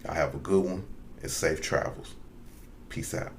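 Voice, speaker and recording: A man's voice: low-pitched, unhurried, a little hiss or hum. A man giving a sarcastic, mocking casual monologue. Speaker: social media commentator.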